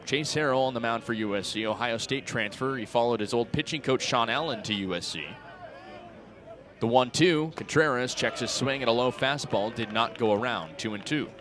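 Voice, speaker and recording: A man's voice: medium pitch, normal speed, a bit bright. A man in his twenties doing radio play-by-play commentary of a baseball game. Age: 20s. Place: outdoor ballpark broadcast. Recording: ballpark crowd and music behind. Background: stadium crowd noise and music.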